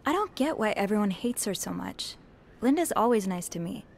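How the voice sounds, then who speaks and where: Friendly young hotel maid, faint noise. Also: female voice, medium pitch, big pitch swings, a little fast, gravelly. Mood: puzzled, earnest. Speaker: video game character. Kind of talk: natural conversational game acting.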